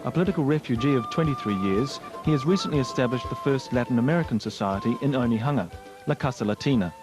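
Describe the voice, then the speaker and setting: A man with a New Zealand accent reading scripted documentary narration in a smooth, even manner. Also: medium pitch, quick pace. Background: music.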